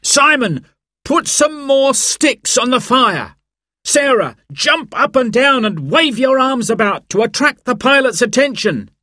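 A man's voice: mid-range voice, expressive, slow, hardly any pauses, dry recording, studio quality. A man voicing a hopeful, urgent and commanding father in a children's story.

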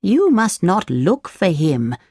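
A woman voicing a kindly, solemn old fairy who gently admonishes in a children's storybook. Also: fairly low pitch, very animated, breathy edge, no room sound, voice pushed up, exaggerated voice. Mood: warning. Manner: slow, drawn-out, gently admonishing.

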